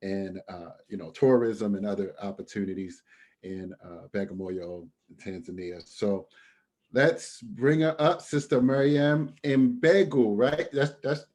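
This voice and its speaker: A man's voice: on the low side, measured pace, a little mellow. A man hosting, giving a chatty introduction of a guest. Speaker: host.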